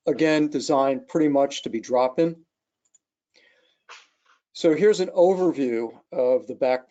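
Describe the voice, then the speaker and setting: A man gives an unscripted technical walkthrough in the role of a product engineer. His voice is mellow and dark, at a middling pitch. The recording is a slightly dull online webcast. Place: online video call.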